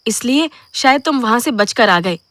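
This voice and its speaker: Woman acting a high, taunting young ghost woman. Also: expressive, moderate pace, fairly bright tone, no echo. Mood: furious. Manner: theatrical, taunting, code-switches into English. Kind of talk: audio horror drama acting.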